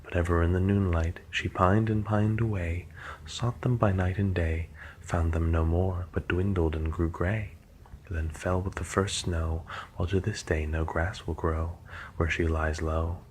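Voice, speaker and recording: A man reading the lines of a cautious young woman who warns her sister, softly and gently. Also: sits low, fairly even intonation, a little slow, a little hiss or hum. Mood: anxious pleading.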